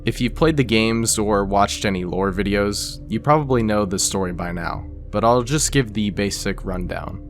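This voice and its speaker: A man with a fairly low voice narrates a scripted video explainer. He talks the listener through it conversationally, slipping in casual asides. Soft music plays underneath. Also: normal speed, hardly any pauses. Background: background music.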